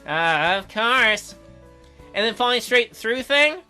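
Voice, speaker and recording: A man's voice: very high voice, animated, slow pace, sharp tone, smooth, soft background music, pitched above the performer's own voice, full character voice. A man playing an awkward, polite, sceptical boy in a story game, with hesitant, stammering delivery.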